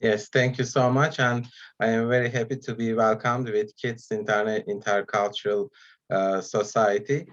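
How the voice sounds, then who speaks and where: A man whose first language is Turkish gives an online talk as guest speaker, pausing often with fillers between phrases. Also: lowish voice, little pitch movement, highs cut off. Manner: hesitant, many pauses and fillers.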